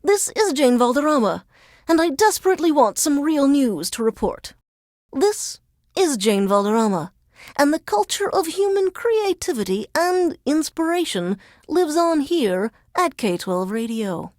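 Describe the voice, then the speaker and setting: Grudging news reporter stuck djing on game radio, lively swooping high voice, dramatic and dry humored, formal newscaster style. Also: female voice, measured pace, dry recording. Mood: bitter, sardonic. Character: female radio news reporter forced to DJ, dryly resentful. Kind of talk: scripted radio DJ links in newsreader delivery.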